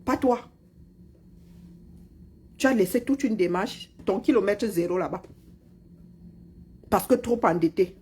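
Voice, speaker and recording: A woman's voice: medium pitch, unhurried, long pauses, darkish tone, slightly rough. A middle-aged woman, mockingly scolding a politician in a heated live-stream rant. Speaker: commentator replying to a politician.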